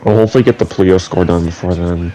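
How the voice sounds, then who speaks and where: A man's voice: low-pitched, fairly even intonation, quick pace, dark tone, muffled recording, slight background noise. A young man host giving rambling, chatty game commentary with trivia asides, his voice a little off from a slight head cold. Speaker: gaming channel host. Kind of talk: casual gameplay commentary.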